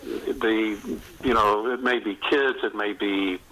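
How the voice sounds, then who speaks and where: A middle-aged or older man talking casually as an author in an interview, enthusiastic and self-deprecating, often halting mid-sentence to find his way. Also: on the low side, steady tone, bright, forceful tone, poor audio. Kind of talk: casual conversational interview, anecdotal.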